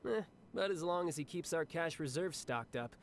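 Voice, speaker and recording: A cocky young fighting man from a video game, with a fairly high voice. He talks breezily and confidently, as if chatting, and relishes a fight. There is clear noise behind him. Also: expressive, normal speed. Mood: wry, amused. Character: cocky, battle-hungry, cheerful young male warrior officer. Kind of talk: scripted video game character monologue.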